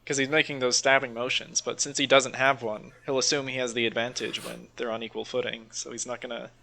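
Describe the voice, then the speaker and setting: A man's voice: medium pitch, little pitch movement, brisk, gravelly, sharp tone, a little hiss or hum. A man co-hosting a podcast, casually bantering and reading out odd news stories in an informal, sweary way. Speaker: podcast co-host.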